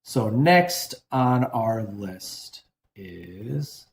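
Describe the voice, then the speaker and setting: A man's voice: lowish voice, expressive, extremely slow, creaky, roomy sound, a little hiss or hum. A man hosting a casual cooking demonstration, chatty and rambling, often correcting himself as he seasons a dish. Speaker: cooking show host.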